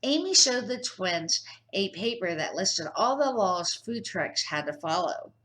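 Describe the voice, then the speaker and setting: A woman's voice: fairly low pitch, sing-song, a little slow, fairly bright tone. Older woman reading a kids' storybook. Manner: unpolished reading with small slips and restarts. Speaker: storybook reader. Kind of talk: reading a children's book aloud.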